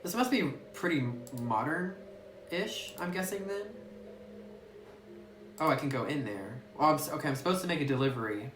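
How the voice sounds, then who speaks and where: A woman's voice: deep voice, animated, moderate pace, long pauses. A woman casually chatting and giggling as she plays through a game, reading its text aloud, recorded on a phone. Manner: chatty, giggly, muses aloud while playing. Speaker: gaming YouTuber. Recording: roomy phone recording with faint hiss.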